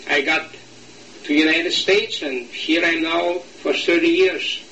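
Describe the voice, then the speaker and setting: An older man from Latvia, speaking English with a Latvian accent, recalls his past in an oral history interview, pausing and searching for words. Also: a little high, fairly even intonation, sharp tone, reverberant, distant mic, slight background noise, rough recording. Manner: halting, searching for words, slight non-native grammar.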